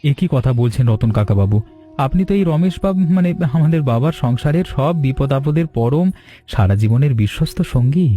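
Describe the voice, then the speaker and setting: A man's voice: mid-range voice, expressive, brisk, warm tone, soft background music, natural voice. A man voicing a dutiful young son-in-law in a village cartoon story, respectful, earnest and reassuring.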